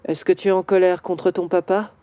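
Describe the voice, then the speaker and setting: A woman voicing a grieving male bronze statue in a children's audio story, slow and wistful. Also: lowish voice, level tone, mellow, dry recording, lightly acted. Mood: sorrowful.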